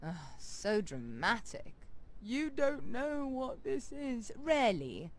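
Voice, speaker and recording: A woman playing an ancient noble vampire in a game, imperious and mocking, with a deliberate, sardonic delivery. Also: a little high, very animated. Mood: exasperated scorn. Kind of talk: dramatic RPG voice acting.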